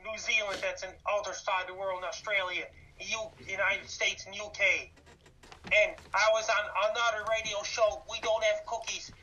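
Flat-voiced Canadian man on a dull phone line. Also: sits high, moderate pace, far from the mic, slight background noise. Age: 30s. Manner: repetitive, lists facts and dates. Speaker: guest, YouTuber. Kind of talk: interview answers.

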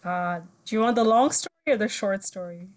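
A woman's voice: mid-range voice, fairly quick. 20s woman, french first language, grew up ivory coast and zimbabwe, laughy rambling guest chat. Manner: chatty, laughs often, trails into fillers. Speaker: guest musician. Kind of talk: casual interview storytelling.

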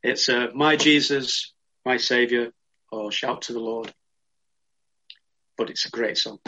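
A man's voice: mid-range voice, slow pace, dull audio. Man leading prayer at an online service. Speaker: worship leader. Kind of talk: prayer and informal address in an online church service.

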